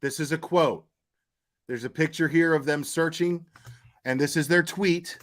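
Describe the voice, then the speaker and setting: Blunt, higher-voiced male livestream commentator. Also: steady tone, measured pace, a bit bright. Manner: blunt, argumentative, coarse-mouthed. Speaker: livestream host commenting on crime cases. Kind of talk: unscripted opinionated livestream commentary.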